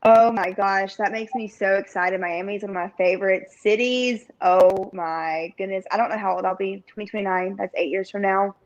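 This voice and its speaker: An eager, chatty woman in her late twenties co-hosts a football talk show. Her voice is at a middle pitch and comes through on dull, lo-fi audio. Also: fairly even intonation, normal speed, hardly any pauses. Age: late 20s. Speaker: sports show co-host. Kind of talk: casual sports talk.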